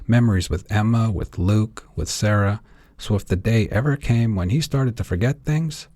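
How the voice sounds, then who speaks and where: A man with a husky voice reading his own fiction aloud as a scripted audiobook narration. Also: lowish voice, warm tone, no room sound.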